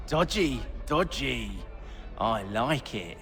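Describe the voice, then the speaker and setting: A man's voice: mid-range voice, animated, extremely slow, vocal fry, heavily acted. An actor playing a cheeky, roguish male follower in a video game, with music behind his voice. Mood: amused, cheeky. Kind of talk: video game cutscene acting.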